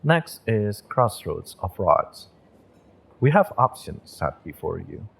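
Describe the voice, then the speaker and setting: A man playing a gentle, encouraging magick shop owner and tarot reader in an intimate audio roleplay, speaking in a measured, mystical, reassuring way. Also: low voice, very animated, vocal fry, husky, exaggerated voice.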